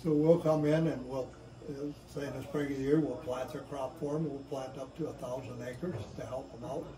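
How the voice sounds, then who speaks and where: A man's voice: mid-range voice, fairly even intonation, brisk, far from the mic, a crowd in the background. An older man on the staff of a farm aid nonprofit, explaining its work plainly and practically in an interview. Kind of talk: interview answers. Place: indoor lobby with stone fireplace. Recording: echoey room from off the mic.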